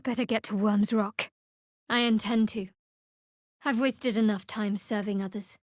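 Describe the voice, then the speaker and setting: An actress playing a guarded, sardonic young female cleric of a dark goddess in a fantasy video game, speaking in a measured, dryly wry way. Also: mid-range voice, fairly even intonation, no room sound, studio quality, natural voice. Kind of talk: video game voice lines, dramatic fantasy acting.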